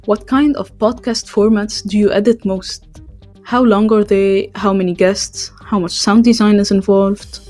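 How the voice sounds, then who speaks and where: A woman's voice: medium pitch, steady tone, a little slow, darkish tone, soft background music. A woman interviewer reading out short scripted questions.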